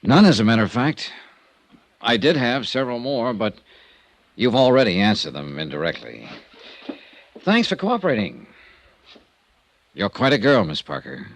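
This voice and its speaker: A cool, skeptical private investigator in vintage radio noir. His lowish voice rises and falls widely, courteous yet probing. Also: sing-song, moderate pace, pauses often. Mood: measured, probing. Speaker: investigator and narrator. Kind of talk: 1950s radio drama acting.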